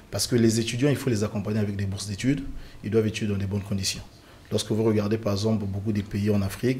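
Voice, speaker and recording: A man originally from the Republic of the Congo speaks as a guest on a televised panel discussion. His voice sits a little low, and he argues earnestly and emphatically, with frequent fillers and restarts. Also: mellow, slightly rough.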